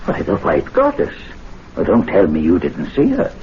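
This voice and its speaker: An actor playing a haughty, excitable gentlemen's club member in a 1970s radio drama, pompous and theatrical. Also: male voice, low-pitched, moderate pace. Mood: enraptured. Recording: muffled, noisy old radio broadcast recording.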